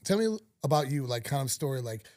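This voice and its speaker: A man's voice: mid-range voice, sing-song, fast talker, a little mellow, no echo, clean studio sound. A man hosting a podcast who swears freely, laughs along with his guest, and jumps in often with short remarks. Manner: loose and profane, laughs along, interjects often. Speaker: podcast host. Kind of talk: casual podcast chat.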